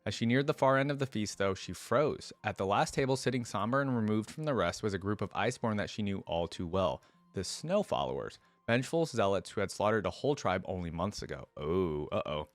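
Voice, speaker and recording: A man's voice: on the low side, expressive, fairly quick, hardly any pauses, no echo. Man reading and reacting, very dry sound. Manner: conversational, frequent asides and rhetorical questions. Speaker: reaction video host. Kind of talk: reading a fantasy story aloud with casual commentary.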